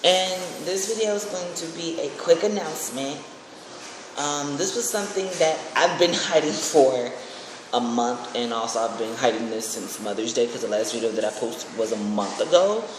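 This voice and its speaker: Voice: medium pitch, normal speed. Echoey, rambling young vlogger chatting casually. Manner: rambling, excitable. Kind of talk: casual chat to camera. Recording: echoey room with clear background noise.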